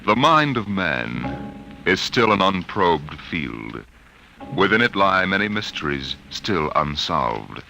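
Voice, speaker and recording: A man's voice: sits low, sing-song, slow, gravelly, pushed and bright. An American man announcing and narrating a vintage radio drama in a solemn, portentous, scripted style. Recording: vintage radio broadcast over music.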